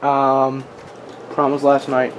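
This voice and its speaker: A man chatting casually and haltingly as he records a hobby video. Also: mid-range voice, completely flat delivery, slight background noise. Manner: halting, off the cuff. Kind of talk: casual chat to camera.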